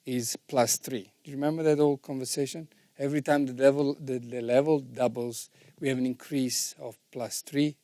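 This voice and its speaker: A man's voice: mid-range voice, dark tone, dry recording, studio quality. A man giving an informal technical lesson as an instructor, explaining step by step and often checking that the listener follows. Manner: didactic, frequently checks understanding. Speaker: sound engineering instructor.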